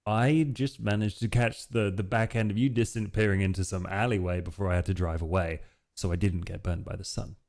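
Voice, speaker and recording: Man playing an earnest, low-voiced young vampire. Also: fairly even intonation, a little fast, darkish tone. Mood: earnest, puzzled.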